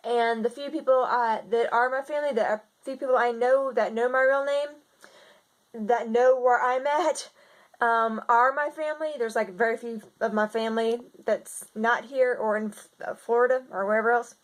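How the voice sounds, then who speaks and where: A young woman with a slightly raspy voice, chatting in a casual video diary and rambling with lots of fillers and restarts. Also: a little high, steady tone, moderate pace. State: slightly raspy from seasonal congestion. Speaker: vlogger.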